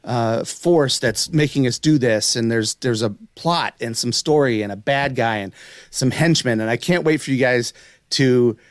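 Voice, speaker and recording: A male podcast host chats in a loose, enthusiastic ramble. His voice has a middle pitch. The sound is dry, with hardly any room on it. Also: a little slow, dry recording. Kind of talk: casual podcast chat.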